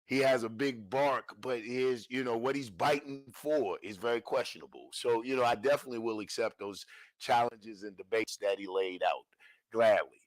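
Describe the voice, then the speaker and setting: A man's voice: medium pitch, moderate pace, dry recording, rough recording. A man hosting a livestream in casual street slang. He stresses his points and often repeats phrases as he talks. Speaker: livestream host. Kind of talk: casual talk-show monologue.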